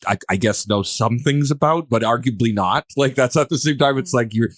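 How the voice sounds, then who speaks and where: A man hosting a podcast, posing questions in a casual, rambling way with halting, restarted phrases. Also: medium pitch, dry recording, clean studio sound. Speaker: podcast host.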